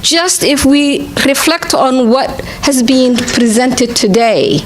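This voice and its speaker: Woman, conference speaker, higher voice, reflective and conversational, with some background noise. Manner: conversational, often checking in with "right?". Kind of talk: reflective public speech, partly improvised. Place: conference venue.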